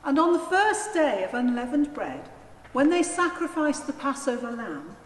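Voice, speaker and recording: A woman's voice: high-pitched, sing-song, moderate pace. A woman narrating a dramatised Gospel in a formal, declamatory reading style. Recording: echoey church hall, faint noise.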